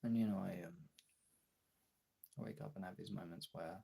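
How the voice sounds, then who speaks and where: A very low-voiced man talks casually and personally, as a streamer does to viewers. His voice is husky and full of fry, and his delivery is halting and candid, with frequent swearing. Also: fairly even intonation, dark tone. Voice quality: gravelly, husky.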